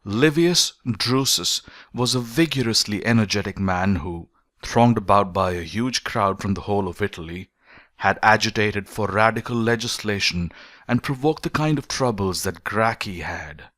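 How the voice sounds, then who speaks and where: A man reading a philosophical essay as an audiobook narrator, in measured, deliberate phrases with emphatic stresses. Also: on the low side, expressive, fairly bright tone, dry recording, clean studio sound.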